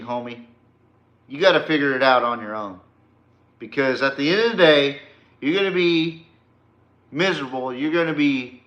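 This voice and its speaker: A man's voice: on the high side, sharp tone. A man hosting a sports podcast, giving a blunt, slangy, opinionated rant with swearing and emphatic direct address. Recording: echoey, reverberant room.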